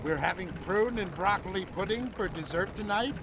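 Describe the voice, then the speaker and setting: Doddery old superhero geezer, high creaky voice, slow and hammy, cartoon game. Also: male voice, background noise. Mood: befuddled, genial. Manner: pompous heroic declaiming, befuddled. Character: doddering old male superhero, earnest, hammy, easily confused. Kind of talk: cartoon video game acting.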